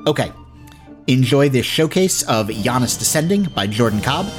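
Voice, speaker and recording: A man hosting a podcast, presenting and interviewing, somewhat halting when he speaks off the cuff. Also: mid-range voice, expressive, faint music behind. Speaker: podcast host.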